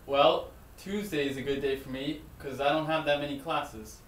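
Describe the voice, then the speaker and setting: A man's voice: fairly high pitch, steady tone, moderate pace, close to an everyday voice. A young man playing a friendly, chatty college roommate in an amateur comedy skit, talking casually and a bit nosily. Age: young adult. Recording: echoey room with faint background noise.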